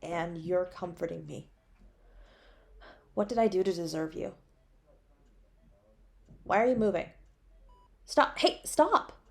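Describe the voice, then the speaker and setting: A woman's voice: on the low side, lively intonation, takes it slowly, creaky, pauses often, heavily acted. A woman playing a devoted, guilt-ridden superhero in an intimate, emotional audio roleplay, with a pleading, tender way of speaking. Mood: tender, resigned. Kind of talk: dramatic audio roleplay, intimate second-person.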